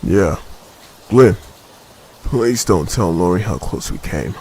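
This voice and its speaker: A man's voice: sits low, lively intonation, slow pace, dark tone, slight background noise, exaggerated voice. A man playing a determined zombie-apocalypse survivor leader in a dramatic comic dub. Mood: breathless relief.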